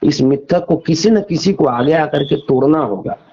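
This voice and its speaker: Husky man on a muffled call. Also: on the high side, moderate pace, warm tone. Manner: emphatic, repeats phrases, argues with rhetorical questions. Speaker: interview guest. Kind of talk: phone interview, opinionated conversational talk. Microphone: phone call. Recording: dull lo-fi phone call recording.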